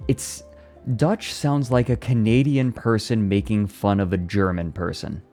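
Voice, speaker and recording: A man's voice: on the low side, fairly even intonation, a little slow, mellow, soft background music. A young man chatting casually on a live stream, reading and answering his chat and laughing often. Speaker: VTuber streamer.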